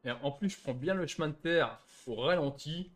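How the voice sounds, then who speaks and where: A man's voice: fairly high pitch, normal speed. A man streaming a roleplay game, commenting casually with a flippant, grumbling manner. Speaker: gamer streaming trolling roleplay. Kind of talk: casual gaming commentary and voice chat. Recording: faint game noise behind voice.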